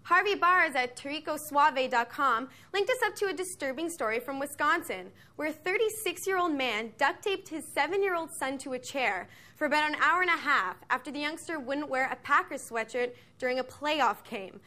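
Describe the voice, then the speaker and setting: A woman's voice: high-pitched, animated, moderate pace, hardly any pauses, sharp tone. A young woman in her twenties hosting a sports news show, reading a script with sarcastic, mocking asides from a studio on a lapel mic. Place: television studio set.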